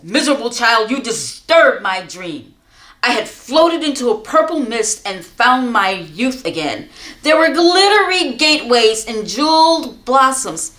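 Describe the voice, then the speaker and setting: A woman voicing a drowsy, dreamy old man in a read-aloud storybook, speaking slowly and wistfully. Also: on the high side, big pitch swings, fairly bright tone.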